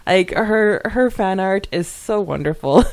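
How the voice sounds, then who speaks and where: A woman's voice: medium pitch, animated, slow. A woman co-hosting a podcast, chatting casually and reading listener comments in a giggly, effusive manner. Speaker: podcast co-host.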